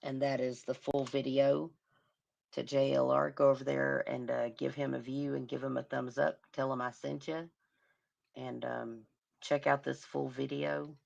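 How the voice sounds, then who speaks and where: A woman hosting a casual livestream, commenting on a crime case in a hoarse voice as she loses it and humming to herself. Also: rumbling low, little pitch movement, a little slow, a little mellow, highs cut off. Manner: murmuring, sympathetic, hums to herself. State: hoarse, losing her voice. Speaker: livestream host.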